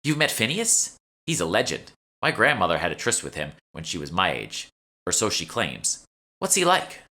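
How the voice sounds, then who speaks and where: A man's voice: low voice, wildly swooping pitch, normal speed, pushed and bright, heavily acted. A man reading an audiobook, voicing a chatty, eager young female shopkeeper who is polite and salesy. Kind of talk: audiobook character dialogue.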